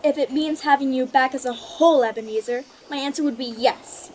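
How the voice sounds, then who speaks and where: A woman's voice: sits high, fairly quick, a little mellow, a little hiss or hum, lightly acted, rough recording. A woman playing a sorrowful but resolute young woman in a radio play, speaking earnestly and formally. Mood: sad, resolute. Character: sorrowful, resolute young woman leaving her greedy fiance. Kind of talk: live-audience radio play acting.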